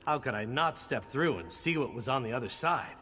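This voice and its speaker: A man's voice: medium pitch, lively intonation, brisk, sharp tone, a little hiss or hum. A man playing a cocky, sardonic knight in a video game, with a flippant, teasing, wry way of talking. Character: cocky sardonic knight, male adventurer, jaded but charming. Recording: long hall reverb, music behind.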